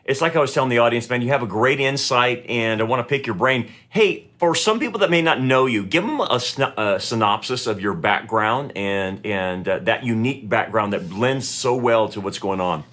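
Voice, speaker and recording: A man's voice: mid-range voice, moderate pace, hardly any pauses, fairly bright tone. A middle-aged man hosting an interview, asking long friendly questions with frequent restarts. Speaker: host.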